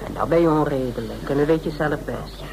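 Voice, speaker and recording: An actress in a Dutch radio drama playing a brisk, scheming woman sleuth who gives orders breezily and matter-of-factly. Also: low-pitched, close to an everyday voice. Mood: bossy. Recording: noisy old radio play recording.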